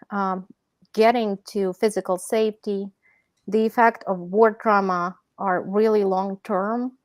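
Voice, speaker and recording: A woman from Ukraine speaking as an interview guest, measured and thoughtful, with soft hesitations. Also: mid-range voice, no echo. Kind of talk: conversational interview.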